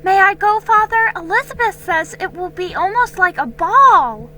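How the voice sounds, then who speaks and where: A woman's voice: extremely high pitch, animated, moderate pace, pushed and bright, slight background noise, voice pushed up, heavily acted. A woman voicing an eager, pleading young colonial girl in a dramatic storybook reading. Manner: earnest, emphatic, pleading.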